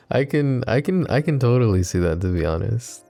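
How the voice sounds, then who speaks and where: A very deep, creaky-voiced mafia boss played by a man murmurs to his listener in an intimate audio roleplay. His tone is dark and mellow, possessive yet teasing, and he takes his time between phrases. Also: steady tone, a little slow, soft background music, exaggerated voice. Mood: flirty, teasing.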